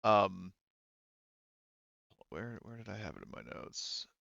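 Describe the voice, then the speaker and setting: A man acting as game master, narrating a tabletop game in a halting, conversational, sweary way. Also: on the low side, expressive, creaky, bright, forceful tone, no echo, muffled recording.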